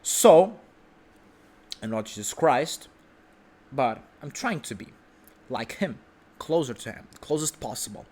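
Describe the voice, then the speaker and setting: A man's voice: mid-range voice, sing-song, a little slow, pauses often, darkish tone. A man sitting on his sofa at home, delivering an unedited vlog monologue in a brash, self-assured way, rambling with restarts and the odd swear word. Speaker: vlog host.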